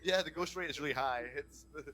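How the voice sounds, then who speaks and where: A man chatting casually as a podcast guest in a halting, self-mocking, jokey way. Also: a little high, a bit bright. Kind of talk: casual chat.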